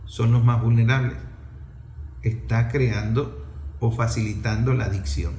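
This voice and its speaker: A man's voice: medium pitch, steady tone, measured pace, a little mellow, reverberant, slight background noise. A middle-aged man with a Venezuelan accent giving an impassioned opinion talk in an emphatic, scolding way, full of rhetorical questions.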